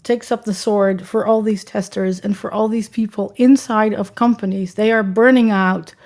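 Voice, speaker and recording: A woman's voice: medium pitch, steady tone, normal speed, darkish tone. A woman with a Dutch accent, speaking as a guest in a podcast interview, chatty and rambling with frequent ums. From Netherlands. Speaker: guest, accessibility consultant.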